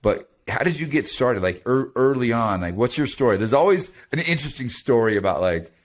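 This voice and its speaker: A man from the United States hosting a podcast, chatty and animated, with lots of restarts. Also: medium pitch, brisk. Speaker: podcast host. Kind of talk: casual interview talk.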